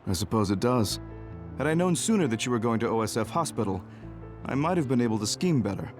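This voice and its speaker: A man playing a composed, guarded senior officer in a video game, talking in a measured, explanatory way. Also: mid-range voice, big pitch swings, music in the background, close to an everyday voice. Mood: calm, guarded. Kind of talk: English video game voice acting.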